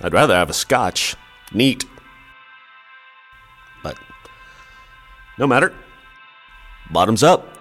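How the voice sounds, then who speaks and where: An actor playing a skeptical, protective brother in an audio play, dry and wry or desperate and stammering. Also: male voice, fairly low pitch, big pitch swings, takes it slowly, long pauses, fairly bright tone, soft background music, heavily acted. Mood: wry, doubtful. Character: skeptical protective brother, growing frantic with fear.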